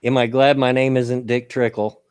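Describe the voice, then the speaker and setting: A man's voice: medium pitch, level tone, moderate pace, darkish tone, clear, resonant tone, dry recording. Scratchy-voiced man with a hillbilly twang. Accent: Southern Indiana and Kentucky. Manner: laughs often, folksy. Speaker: show host. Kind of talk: casual stream chat.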